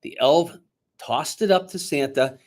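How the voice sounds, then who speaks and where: A man reading a picture book aloud dramatically to children, pausing to coax them with questions like a teacher. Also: a little high, a little mellow. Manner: dramatic, teacherly, coaxing young listeners with questions.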